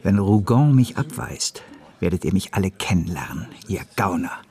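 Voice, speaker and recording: Low, creaky, brooding male speculator. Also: very animated, moderate pace, a little mellow, full character voice. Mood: brooding, vengeful. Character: brooding, ambitious male speculator plotting revenge. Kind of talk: radio drama inner monologue.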